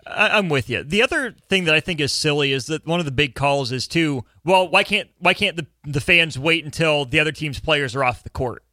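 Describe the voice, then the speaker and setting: A man hosts sports radio in a polished, conversational voice. It sits a little high and cuts through brightly, with a close, dry sound free of room echo. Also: brisk, few pauses. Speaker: sports talk radio host.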